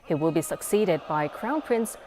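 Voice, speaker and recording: A woman's voice: lowish voice, expressive, moderate pace, background noise. A woman anchor reading scripted television news.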